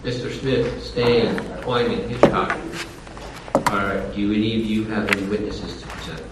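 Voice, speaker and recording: A man chairing a public hearing, polite and procedural with halting phrasing. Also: on the low side, steady tone. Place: large public meeting hall. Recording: echoey hall, distant mic, background noise.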